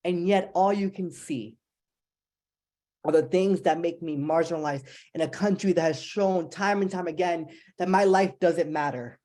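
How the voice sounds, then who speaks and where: A young woman with a New Jersey accent delivers an impassioned speech to a panel audience. She talks quickly and emphatically, leaning hard on her appeals. Her voice sits a little low for a woman. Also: warm tone. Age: young adult. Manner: fast-talking, emphatic, pleading. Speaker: symposium panelist.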